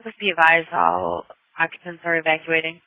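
A man's voice: a little high, big pitch swings, fairly quick, no room sound. A man dispatching an emergency call over a two-way radio. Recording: dull lo-fi scanner radio.

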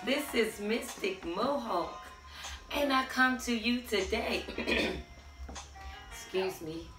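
A middle-aged woman with a hoarse voice giving a tarot reading to camera in a small room at home, sassy and sing-song, calling out to the spirits. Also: a little high, lively intonation, slow pace. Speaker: tarot reader. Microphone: camera standing a few steps away. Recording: echoey room, far from the mic, faint music.

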